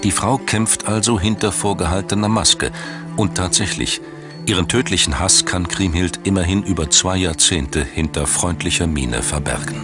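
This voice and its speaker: Very deep-voiced male documentary narrator. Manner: measured, solemn storytelling. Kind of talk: scripted dramatic narration. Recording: studio voice-over over music. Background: background music.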